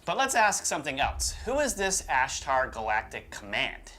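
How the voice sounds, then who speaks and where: A man's voice: medium pitch, huge pitch swings, moderate pace, pushed and bright. A man co-hosting a show, mixing scripted narration with informal, incredulous asides. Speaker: show host. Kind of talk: conversational co-hosted scripted narration with casual asides.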